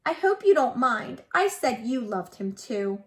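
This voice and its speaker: A woman's voice: fairly high pitch, big pitch swings, unhurried. A woman voicing an earnest young girl writing letters in a picture-book read-aloud. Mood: happy.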